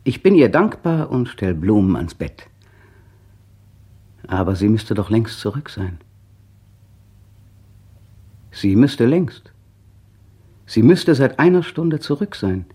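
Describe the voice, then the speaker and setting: A husky, restless brooding man. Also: fairly low pitch, big pitch swings, unhurried, pauses often, mellow, exaggerated voice. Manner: restless, swings from muttering to feverish elation. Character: anxious young man, brooding and feverish. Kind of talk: 1960 radio play inner monologue.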